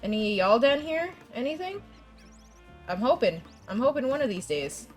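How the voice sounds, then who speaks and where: A woman's voice: a little high, very animated, moderate pace, faint music behind. A young woman streaming a game and chatting with viewers, congested from a coming cold, rambling with restarts and laughs. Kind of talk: casual gameplay chat with viewers.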